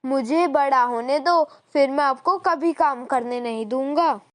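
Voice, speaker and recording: Woman as an eager, high-pitched little boy. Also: unhurried. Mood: concerned, earnest. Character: eager, caring young boy, son of a poor mother. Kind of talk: Hindi moral story voice acting.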